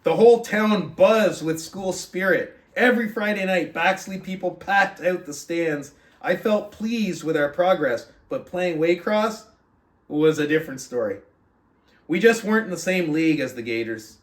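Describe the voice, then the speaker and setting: A man's voice: high voice, animated, normal speed. A man hosting a book club, speaking to his audience as he shares and reads a story aloud. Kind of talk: reading a book aloud with casual commentary. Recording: roomy echo, distant from mic.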